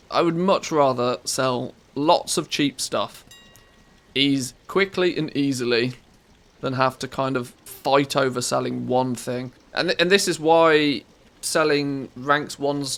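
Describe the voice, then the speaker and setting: An English man with a scratchy, harsh voice and a gravelly edge running through his words. He chats casually to his stream audience, rambling and correcting himself mid-sentence. He speaks at an everyday middle pitch into a good studio mic. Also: a bit bright. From England. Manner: rambling, self-correcting, musing to chat. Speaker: live streamer. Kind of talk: casual live-stream chat while playing. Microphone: good studio microphone.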